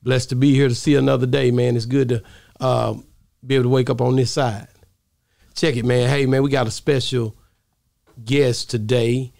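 Gravelly laid-back podcast host man. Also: medium pitch, flat delivery, moderate pace, a little mellow, no room sound, studio quality. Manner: laid-back, rambling with many fillers and restarts. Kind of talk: casual interview chat. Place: podcast studio, indoors. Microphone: studio mic on arm, headphones.

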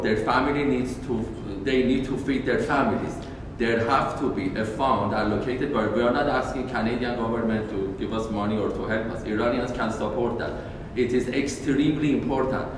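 Middle-aged audience member, urgent and pressing; distant, hall reverb, background noise. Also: male voice, mid-range voice. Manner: insistent, urgent. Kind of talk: public statement. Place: large meeting room, standing. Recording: echoey hall from afar with noise.

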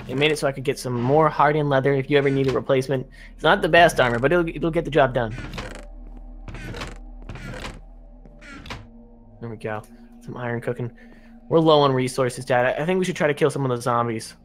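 A man's voice: medium pitch, quick pace, faint music behind, close to an everyday voice. A man playing an earnest, practical young son who survived a plane crash, in a casual improvised game roleplay.

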